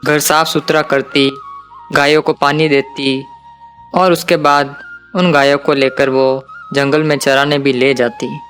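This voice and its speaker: A man's voice: on the high side, fairly bright tone. A man narrating a scripted cartoon folk tale for children as storyteller.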